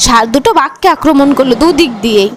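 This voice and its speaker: A woman's voice: high voice, fast talker. A woman narrating a children's moral tale as its storyteller.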